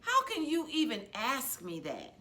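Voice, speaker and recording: A woman's voice: fairly high pitch, huge pitch swings, unhurried, sharp tone, heavily acted. A woman voicing a sullen, sarcastic, argumentative teenage girl in a storybook reading, snippy and confrontational. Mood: indignant, sarcastic.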